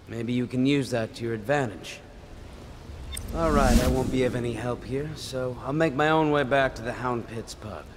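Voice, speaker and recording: An actor playing a composed male conspirator in a video game, speaking in a measured, articulate, dryly witty way. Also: mid-range voice, background noise, lightly acted. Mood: grave, purposeful. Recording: music behind the voice.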